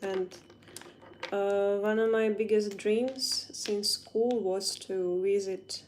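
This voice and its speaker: A young woman from Ukraine with a Ukrainian accent, chatting casually in English on a phone livestream and often pausing to search for words. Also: medium pitch, fairly even intonation, darkish tone, slight background noise. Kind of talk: casual livestream chat while doing makeup.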